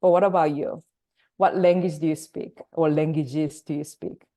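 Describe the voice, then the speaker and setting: A woman whose first language is Korean, hosting and introducing speakers on a video call webinar, measured with pauses. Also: sits low, steady tone, dark tone. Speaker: workshop host and facilitator.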